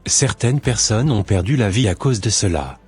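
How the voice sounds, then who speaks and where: Man doing a cartoon doctor, middle-aged, preachy, deep, husky, soft background music. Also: moderate pace. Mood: lecturing. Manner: calm, lecturing and preachy. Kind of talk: amateur cartoon voice acting.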